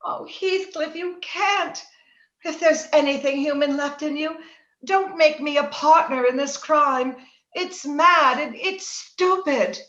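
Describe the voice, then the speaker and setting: Woman doing a haughty jealous young wife, high voice, melodramatic old radio acting, echoey slightly muffled audio. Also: fairly bright tone. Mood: pleading, distraught. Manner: melodramatic, halting when upset. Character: anguished, jealous, haughty young married lady. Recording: roomy, slightly dull remote-call sound.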